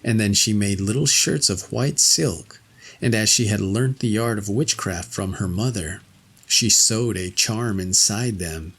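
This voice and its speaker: A man reads a classic fairy tale as a scripted narration. His voice sits fairly low and crackles with gravel. It has a husky, unpolished edge. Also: measured pace, a bit bright. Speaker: storyteller, narrator.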